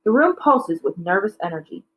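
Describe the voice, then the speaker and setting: A woman's voice: mid-range voice, sing-song, brisk, fairly bright tone. A woman narrating a children's novel aloud like a teacher, occasionally stumbling over words and correcting herself. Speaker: teacher reading a book aloud.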